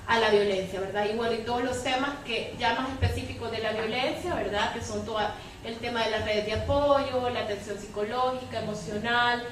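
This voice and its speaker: Female panelist, halting and tag-heavy, slightly high voice, recorded in a very echoey hall. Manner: halting, frequent tag questions seeking agreement. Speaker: panelist, feminist lawyer. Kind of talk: panel discussion. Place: large echoey room at a public event. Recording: echoey hall, distant mic, clear noise.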